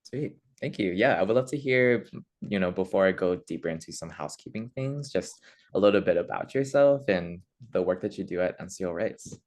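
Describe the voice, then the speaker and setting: Upbeat deep-voiced webinar host woman. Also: expressive, fairly quick, darkish tone. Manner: upbeat, friendly, many fillers. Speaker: webinar host, community education manager. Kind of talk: hosting an online webinar.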